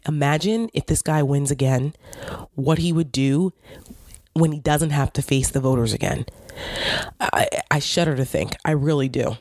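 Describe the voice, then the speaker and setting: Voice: mid-range voice, moderate pace, a little mellow, no echo. Blunt New Jersey-accented political commentator. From New York / New Jersey area. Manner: blunt, indignant, swears freely. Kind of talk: casual opinionated monologue.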